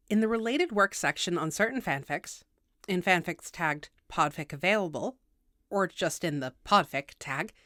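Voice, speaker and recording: A woman's voice: mid-range voice, a bit bright, no room sound, studio quality. A woman hosting a video tutorial, reading scripted explainer narration in a precise, instructional way with wry asides.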